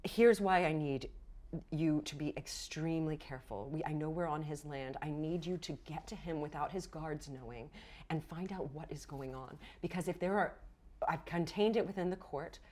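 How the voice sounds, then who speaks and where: A woman playing an earnest, adamant fantasy queen with a fervent, halting delivery in a tabletop game. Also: low-pitched, a little mellow. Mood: urgent, grave.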